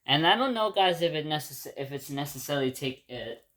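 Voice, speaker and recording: A man's voice: on the high side, lively intonation, fairly quick, a bit bright. Rambling man from Ecuador, video diary. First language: Spanish. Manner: rambling, restarts and repeats words, often says "guys". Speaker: vlogger. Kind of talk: casual spoken reflection to viewers.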